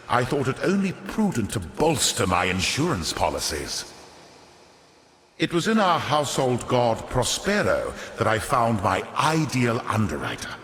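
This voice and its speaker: An actor playing a wily, vain, centuries-old merchant lord in a video game, pompous and theatrical, with ornate aristocratic diction. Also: male voice, on the low side, a bit bright. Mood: sly, self-satisfied. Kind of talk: scripted video game character monologue. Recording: echoey hall with long reverb.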